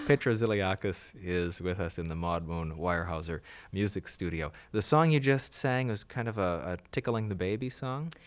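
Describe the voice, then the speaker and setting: A man's voice: low-pitched, normal speed, hardly any pauses. A man hosting a radio interview in a music studio, asking short, encouraging questions. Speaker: radio host.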